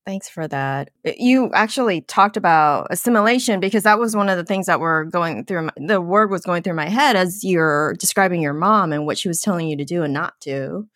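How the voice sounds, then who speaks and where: A woman's voice: fairly low pitch, brisk, few pauses, fairly bright tone, no echo, studio quality. A woman co-hosting a podcast, asking attentive follow-up questions. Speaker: podcast co-host. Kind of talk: interview questions.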